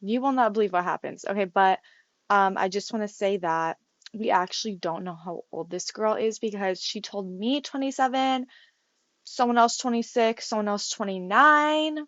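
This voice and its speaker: A twenty-one-year-old woman with a slight lisp, dropping in a hurried, gossipy voice-over while editing her vlog. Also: medium pitch, fairly bright tone, no echo, muffled recording. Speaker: vlogger.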